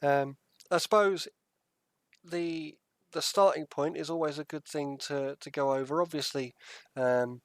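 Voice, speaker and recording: A man's voice: fairly high pitch, fairly even intonation, measured pace, no room sound, studio quality. A British man co-hosting a casual podcast, easygoing and jokey, with the odd filler as he sets up the topic. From United Kingdom. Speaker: podcast co-host. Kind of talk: casual chat.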